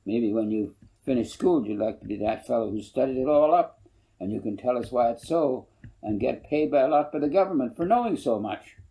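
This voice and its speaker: A man's voice: medium pitch, expressive, a little fast, warm tone, close to an everyday voice, rough recording. A man voicing a folksy, good-humored older farmer who rambles and corrects himself, in a storybook reading. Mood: musing, amiable. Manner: rambling, homespun, self-correcting. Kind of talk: audiobook character voice.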